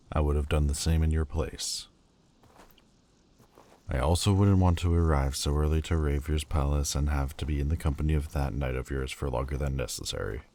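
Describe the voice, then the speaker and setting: A man's voice: rumbling low, mellow, full character voice. A man voicing a proud, bitter demon lord who speaks in a cold, cutting, haughty way and hides a lovesick heart. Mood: flustered. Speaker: voice actor. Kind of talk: audio roleplay acting.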